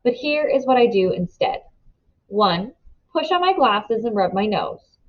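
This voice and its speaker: A woman narrates a children's book in an expressive read-aloud style. Her pitch is average for a woman. The recording is slightly dull and lo-fi. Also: a little slow. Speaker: storybook reader.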